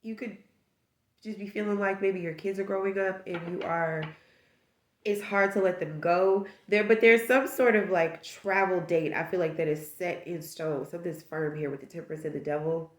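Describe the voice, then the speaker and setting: A woman's voice: fairly low pitch, fairly even intonation, moderate pace, roomy sound. A woman with a stuffed-up nose giving a casual tarot reading, musing aloud and rambling through possibilities. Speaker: tarot reader.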